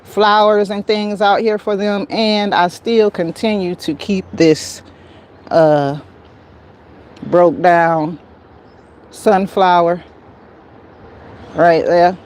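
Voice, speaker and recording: Slow-talking American woman, outdoors. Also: on the low side, steady tone, darkish tone. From United States. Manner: folksy, talks to herself and her plants. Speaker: garden vlogger, livestream host. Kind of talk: casual livestream chat while gardening. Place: outdoors in a garden. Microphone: handheld phone. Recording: noisy outdoor phone recording.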